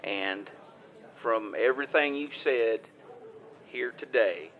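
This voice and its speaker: A man playing a polite, anxious rural caller, halting and stammering, in an improvised audio drama phone call. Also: medium pitch, natural voice. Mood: sheepish, relieved. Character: polite, hesitant, anxious rural Southern man filing a claim. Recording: dull, band-limited phone call.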